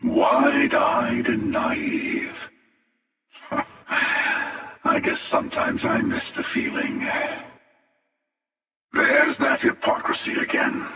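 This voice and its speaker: A man voicing a jaded, menacing, world-weary older space hunter for a video game, with a gravelly, sardonic and deliberate delivery. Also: medium pitch, pushed and bright, heavily acted. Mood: wry, amused. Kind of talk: video game character voice lines. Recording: cavernous echo and long reverb, distant.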